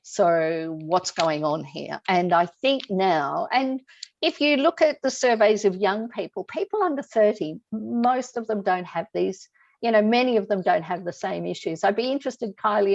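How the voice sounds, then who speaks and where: A middle-aged woman with a gravelly, creaky edge to her voice, hosting a relaxed discussion. She thinks aloud and turns questions over to her guest. The audio is a little dull and lo-fi. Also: on the low side, moderate pace, no echo. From Australia. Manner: reflective, asks guest questions. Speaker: host. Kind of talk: conversational discussion.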